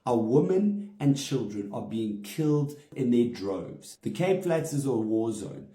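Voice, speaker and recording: A man's voice: mid-range voice, big pitch swings, measured pace, mellow. Indignant man, roomy sound. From South Africa. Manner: indignant, emphatic, stressing single words. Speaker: comedian. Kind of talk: direct-to-camera statement. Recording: roomy echo.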